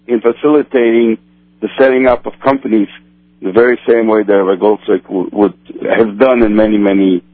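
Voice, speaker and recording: A man's voice: on the low side, steady tone, no room sound. Guy from brazil, portuguese first language, talking over a tinny phone line, keeps restarting his sentences. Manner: halting, many restarts and fillers. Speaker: kosher supervisor rabbi. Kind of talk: radio interview answers.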